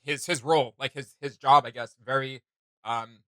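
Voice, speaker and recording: A man's voice: medium pitch, fairly even intonation, moderate pace, fairly bright tone, no echo, clean studio sound. A man hosting a casual reaction commentary, talking in an enthusiastic, rambling way. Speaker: reaction video host.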